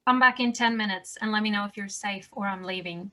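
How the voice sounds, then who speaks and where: A woman's voice: mid-range voice, a little fast, sharp tone, a little hiss or hum, close to an everyday voice. A woman at a table read playing a practical, bossy woman who takes charge. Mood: firm.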